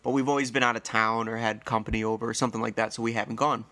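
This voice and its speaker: A man in his thirties hosting a casual solo podcast, sounding congested, a little nasal and hoarse, telling stories in a rambling, friendly way. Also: medium pitch, steady tone, very fast, sharp tone. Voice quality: slightly hoarse. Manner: rambling, friendly storytelling with fillers. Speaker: podcast host.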